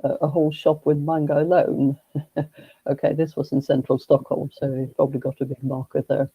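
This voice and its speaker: A man, a guest speaking in a halting, measured way with frequent restarts. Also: on the high side, monotone, breathy edge, no room sound, phone-quality sound. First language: Swedish. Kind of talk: casual conversation.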